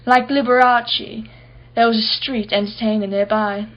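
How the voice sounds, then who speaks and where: A woman's voice: a little high, fairly even intonation, moderate pace, highs cut off, close to an everyday voice. An actress playing an imperious, wealthy businesswoman in a radio play, guarded and impatient, speaking in a clipped, formal way. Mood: wistful, reflective.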